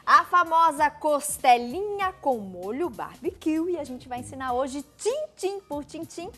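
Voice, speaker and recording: A woman's voice: high-pitched, expressive, unhurried, pushed and bright, faint music behind. A woman hosting a TV cooking segment, upbeat and chatty, recapping the ingredients aloud in a studio kitchen. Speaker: TV show host.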